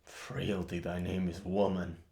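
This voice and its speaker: An actor playing a grieving, bitter young prince in a theatrical monologue, brooding and halting, rising into outbursts. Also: male voice, low voice, little pitch movement, gravelly, warm tone. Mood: bitter anguish.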